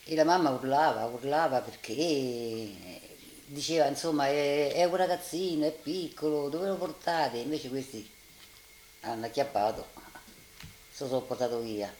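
A woman's voice: extremely low pitch, normal speed, darkish tone. An older woman with a Roman accent giving spoken testimony as a witness. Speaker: witness recalling wartime. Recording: roomy, noisy archive interview.

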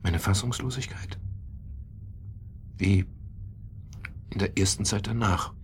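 An actor playing a grieving, guilt-obsessed widower in a radio crime drama, speaking haltingly and repeating himself. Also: male voice, lowish voice, slight background noise. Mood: anguished doubt. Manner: halting, repeats himself, sudden agitated outbursts.

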